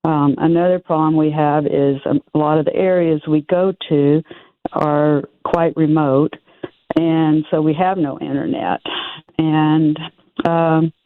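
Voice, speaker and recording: Low-voiced older woman on a tinny phone line. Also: steady tone, measured pace, dry recording. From Oregon. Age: 70s. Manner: hesitant, self-deprecating, folksy. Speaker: caller. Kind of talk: phone-in question.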